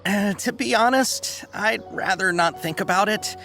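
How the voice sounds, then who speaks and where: A man's voice: high-pitched, measured pace, vocal fry. A man playing a nervous, hesitant caravan merchant in a video game, apologetic and eager to please. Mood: shaken. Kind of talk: video game character dialogue. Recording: game music under the voice.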